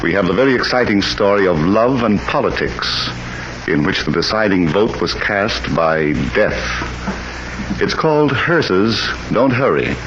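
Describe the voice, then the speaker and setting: A courteous librarian host. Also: male voice, on the low side, animated, measured pace. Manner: polite, announcing. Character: courteous male librarian host of the crime series. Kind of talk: 1940s radio announcing. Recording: muffled old radio broadcast, heavy hiss.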